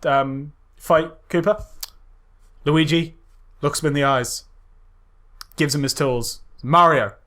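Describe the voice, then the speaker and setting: A man co-hosting a podcast, retelling a film casually in a loose, halting way with mild exclamations. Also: fairly high pitch, a bit bright. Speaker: podcast co-host. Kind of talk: casual film critique chat.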